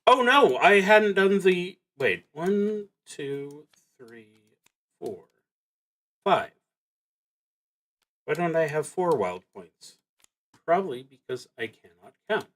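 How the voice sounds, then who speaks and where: A man streaming a solo tabletop roleplaying game, thinking aloud in a halting, reflective way as he works through his moves. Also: high-pitched, very animated, fairly bright tone.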